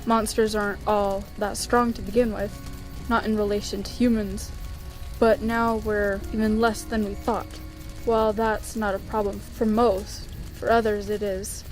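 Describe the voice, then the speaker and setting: A woman's voice: medium pitch, little pitch movement, unhurried, natural voice. A woman voicing a tired, easygoing male skeleton older brother in a comic dub, speaking casually. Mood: reassuring, easygoing. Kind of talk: animated comic dub acting. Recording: music behind the voice.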